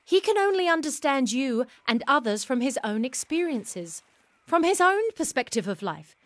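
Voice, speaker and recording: Playful wise regency lady, gentle philosophical type, high voice that swoops around a lot. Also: very animated, moderate pace, dry recording. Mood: wise, reassuring. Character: wise, wry, playful woman consoling a younger companion. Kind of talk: period audio drama acting.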